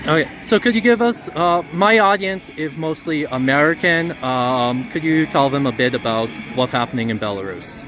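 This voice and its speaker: A man hosting a street interview at a protest, asking quick casual questions. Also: a little high, animated. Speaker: interviewer and host.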